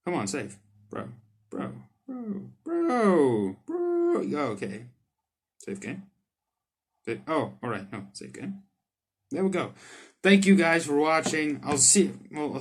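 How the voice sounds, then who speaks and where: A man hosting a gaming video, reading visual novel text aloud and commenting on it in informal, slangy language. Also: fairly high pitch. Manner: informal, slangy, swearing, reacts aloud to what he reads. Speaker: gaming YouTuber, host.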